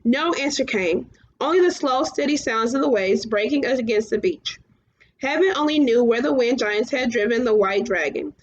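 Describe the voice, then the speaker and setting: A woman reading children's fantasy fiction aloud, somewhat halting, often stumbling and going back over phrases. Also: a little high, expressive, muffled recording. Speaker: storybook reader.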